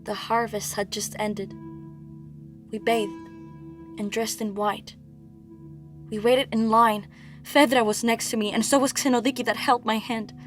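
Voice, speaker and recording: A woman performs an anguished, haunted princess of ancient myth in a dramatic audio play. The voice sits fairly high, delivered as theatrical monologue, with soft music behind it. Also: fairly even intonation, unhurried, pauses often, close to an everyday voice. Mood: wistful, haunted.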